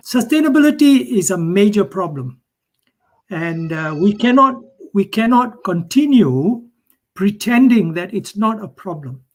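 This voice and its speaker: An older man with a Malaysian accent giving an informal conference lecture as a professor, repeating phrases for emphasis in simple, direct words. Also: sits high. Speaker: professor, keynote speaker.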